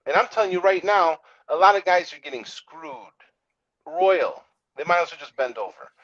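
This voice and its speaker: A man's voice: on the high side, moderate pace, fairly bright tone, lo-fi sound. A straight-talking man in a casual, opinionated discussion, speaking bluntly and acting out what others say. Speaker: trucking business owner.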